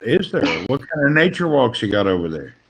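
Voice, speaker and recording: A man's voice: medium pitch, sing-song, fairly quick, husky, poor audio. A man hosting a casual group chat on a live stream. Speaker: livestream host.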